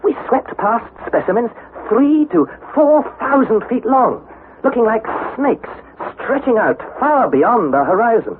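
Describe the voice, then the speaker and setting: An actor playing an earnest young nephew, narrating an expedition with measured, dramatic storytelling in a 1960s radio drama style. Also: male voice, extremely high pitch, big pitch swings, full character voice. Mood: awed. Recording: narrow-band, slightly noisy vintage radio recording.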